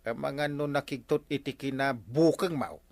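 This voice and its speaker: An actor playing an older, excitable village captain in an Ilocano comedy radio drama. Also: male voice, mid-range voice, normal speed, gravelly, no echo, lightly acted. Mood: incredulous.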